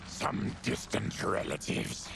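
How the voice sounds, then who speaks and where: An actor voicing a gentle, weary, afflicted monster warrior in a video game, with a growling, solemn delivery. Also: male voice, noisy background, lightly acted. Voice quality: gravelly, growling. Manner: solemn, measured. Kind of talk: video game cutscene acting. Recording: game soundtrack behind.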